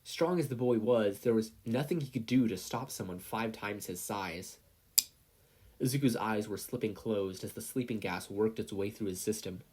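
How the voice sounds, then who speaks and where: Male story narrator, husky and fairly low, reading scripted fiction. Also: normal speed, a little mellow. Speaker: podfic narrator.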